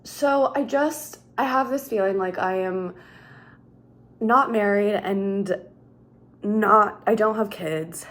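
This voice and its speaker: A woman, chatting casually in a rambling vlog at home, full of "like" and "um". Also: mid-range voice. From Minnesota, USA. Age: 30s. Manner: rambling, chatty, heavy use of "like". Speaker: vlogger. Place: her home.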